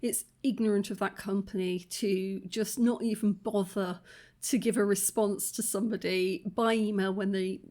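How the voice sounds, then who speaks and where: A woman hosting a reaction video with a croaky voice, talking in an outspoken, indignant way with plenty of fillers. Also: medium pitch, fairly even intonation, normal speed, a little mellow. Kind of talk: casual reaction commentary.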